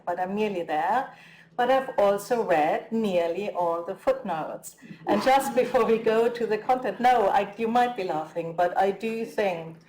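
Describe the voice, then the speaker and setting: A woman's voice: mid-range voice, darkish tone. A woman academic moderating an on-stage talk. She speaks eagerly and fluently and builds up at length before asking her questions. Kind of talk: interviewing a guest on stage. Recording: roomy echo.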